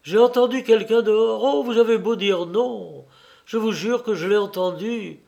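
A man's voice: high-pitched, measured pace, a bit bright, dull audio. A man voicing an anguished, fearful young man who pleads with his mother in an agitated, dramatic way, as in an audiobook. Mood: frightened, agitated.

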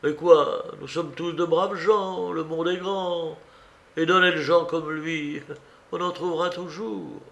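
An agitated old woman, acted out by a male reader, pitched well up with a gravelly edge. She is a blunt, loving storybook aunt who scolds and fusses, repeating her words with emphasis. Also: steady tone, slow pace, fairly bright tone. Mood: coaxing, blunt. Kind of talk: dramatised audiobook reading.

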